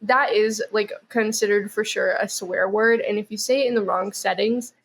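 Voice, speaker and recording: A woman's voice: mid-range voice, fairly even intonation, normal speed, vocal fry, breathy edge, low-quality recording. A woman chatting casually as a podcast guest, rambling with lots of "like" and "um". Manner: rambling, heavy use of "like" and "um". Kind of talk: casual chat.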